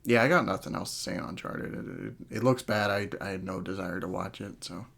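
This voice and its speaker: Male podcast host, low and fry-heavy, casual, sweary, laughs easily. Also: fairly quick, fairly bright tone. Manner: sweary, jokingly exasperated, laughs often. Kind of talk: casual chat, opinionated movie discussion.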